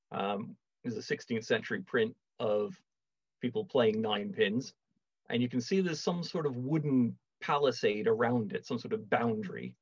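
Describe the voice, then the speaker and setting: A middle-aged man giving a measured online lecture on a video call, with wry asides. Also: medium pitch, husky, dry recording. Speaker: lecturer, archaeology project manager. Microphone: video call webcam. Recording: dull, dry video call audio.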